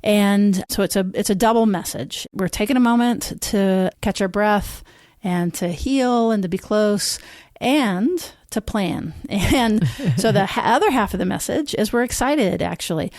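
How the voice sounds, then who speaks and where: Woman, mid-pitch, dry close mic, reflective and sincere podcast co-host. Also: unhurried, hardly any pauses, a little mellow, no room sound. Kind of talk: casual conversational podcast chat.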